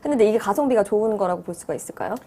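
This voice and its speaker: A woman hosting a news talk show, brisk and steady, nudging the talk along with short acknowledgements. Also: medium pitch. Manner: brisk, with frequent short backchannels.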